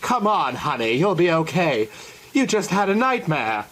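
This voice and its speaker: A man's voice: on the high side, very animated, unhurried, fairly bright tone, voice pushed up. A man voicing a gangster's fussing female companion, pleading and scolding in a horror comic dramatization. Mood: concerned.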